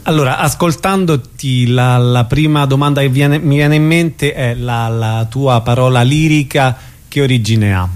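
Man interviewing, mid-pitched and hesitant. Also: a little slow, few pauses. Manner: hesitant, searching phrasing. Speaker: radio host, interviewer. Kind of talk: conversational radio interview. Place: radio show interview.

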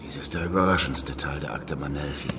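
Very deep-voiced, grave old spy. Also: male voice, fairly quick, distant mic. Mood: confiding, deliberate. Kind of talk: German historical radio drama acting.

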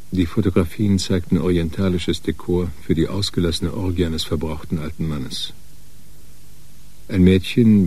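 An actor playing the sober, grim narrator of a radio crime story, reading scripted narration. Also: male voice, deep voice, dark tone, slightly rough.

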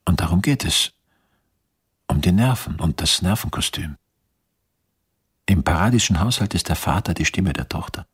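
Low, very husky, measured physician. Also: male voice, animated, heavily acted. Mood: musing. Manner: reserved, probing, deliberate. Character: measured, earnest eighteenth-century physician and healer. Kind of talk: radio drama acting.

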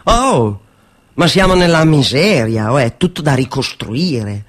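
An actor playing a hopeful, stubborn post-war communist in colloquial, earnest, coaxing radio theatre dialogue. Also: male voice, mid-range voice, big pitch swings, a little slow. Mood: earnest, insistent.

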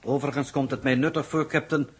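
An actor playing an aloof, pedantic scientist aboard a spaceship, formal and husky, in a 1960s radio drama. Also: male voice, mid-range voice, fairly even intonation, quick pace, close to an everyday voice. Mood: cool, defensive. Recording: 1960s radio play recording.

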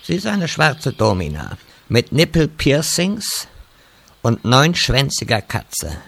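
A man's voice: mid-range voice, huge pitch swings, slow pace, vocal fry, fairly bright tone, heavily acted. A man playing an old, cryptic wizard mentor in a German comedy fantasy audio drama, lecturing in a portentous way. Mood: ominous.

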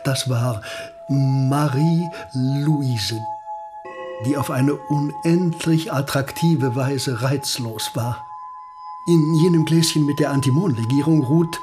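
A man's voice: mid-range voice, takes it slowly, lightly acted. Man acting aging privy councillor, courtly, macabre, flowery phrasing, French flourishes, radio drama, faint music. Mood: wistful, savouring. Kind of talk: German radio play acting. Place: studio radio production.

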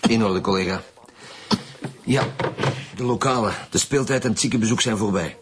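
An actor playing a gruff, curt and drily sarcastic chief detective with a Flemish accent in a radio drama. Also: male voice, lowish voice, normal speed, noisy background, lightly acted. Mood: curt, bossy. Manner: curt, bossy, dry sarcasm.

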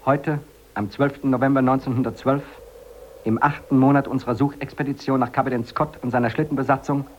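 An actor playing a member of a rescue expedition, reading a formal, precise situation report in a 1950s German radio drama. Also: male voice, medium pitch, fairly even intonation, fairly quick, natural voice. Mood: formal, sober. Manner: official, precise. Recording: dull old radio recording, faint noise.

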